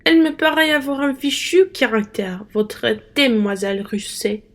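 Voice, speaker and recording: A woman's voice: high voice, expressive, normal speed. A woman playing a snippy merchant's wife in an amateur radio play. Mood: disapproving.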